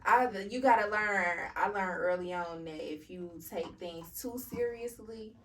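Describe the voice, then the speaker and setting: A woman's voice: fairly low pitch, a little slow, a bit bright, recorded from a distance. A woman in her thirties, a podcast guest talking candidly and casually about her life, with an easygoing manner. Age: 30s. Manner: laid-back, laughs things off, candid. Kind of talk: casual interview chat.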